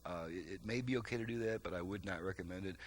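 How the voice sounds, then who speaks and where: A man with a fairly low voice talks through a repair demonstration in a casual, unscripted way. He explains step by step, haltingly, often correcting himself. The recording has clear background noise with a hum.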